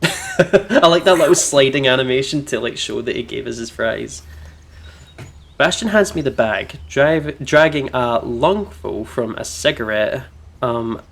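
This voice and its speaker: Male gaming host, medium pitch, chatty, reads story text and comments casually. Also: lively intonation, unhurried, vocal fry. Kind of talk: playthrough commentary and reading visual novel text aloud.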